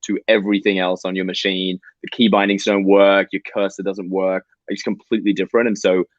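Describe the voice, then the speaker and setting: Man, 20s, founder guest; deep voice, muffled dry call sound, brisk and animated. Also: no echo. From Australia. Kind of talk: explaining software on a video call. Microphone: video call microphone. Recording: slightly dull, dry call audio.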